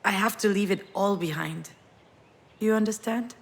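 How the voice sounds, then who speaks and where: A woman's voice: mid-range voice, normal speed, lightly acted. An actress playing a wistful, wounded woman parting from her ex, speaking in a reflective, intimate way in a naturalistic game performance. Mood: torn, resigned. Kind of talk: naturalistic visual novel voice acting.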